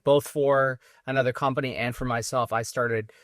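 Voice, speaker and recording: A man in his forties with a Massachusetts accent, talking in a loose, rambling way as a podcast guest. His voice sits at an ordinary middle pitch and sounds dry and close, with no room around it. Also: normal speed, dry recording. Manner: relaxed, rambling storyteller with many restarts. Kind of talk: casual interview talk.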